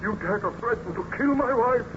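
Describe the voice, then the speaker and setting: A man's voice: extremely high pitch, fairly quick. A man playing an anguished, haunted baron in formal, theatrical old radio drama style. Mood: horrified, indignant. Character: anguished, recovering Baron, haunted and horrified by his creation. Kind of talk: 1930s radio acting. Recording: dull, noisy old radio recording.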